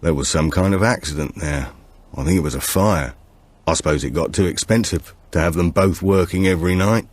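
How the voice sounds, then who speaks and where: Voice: deep voice, a little fast, no echo. A man voicing an old retired seaman recalling local lore in an audiobook thriller. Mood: reminiscing.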